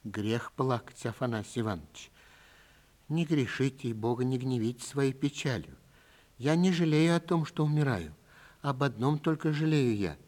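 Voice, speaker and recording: Older guy reading as a tender old lady, quiet, plaintive, resigned, storybook style. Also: mid-range voice, expressive, normal speed, lightly acted. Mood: tender, sorrowful. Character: gentle, resigned dying old woman, tenderly caring.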